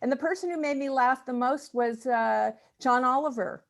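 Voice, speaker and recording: A woman chatting casually in a group discussion, halting and repeating words as she works out her thoughts. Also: sits high. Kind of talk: casual video-call chat.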